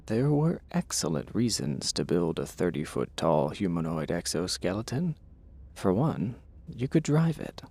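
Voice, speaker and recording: A man narrating an audio drama with a gravelly, husky voice and a wry, dramatic delivery. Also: deep voice, big pitch swings, measured pace, darkish tone. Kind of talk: scripted audio drama storytelling.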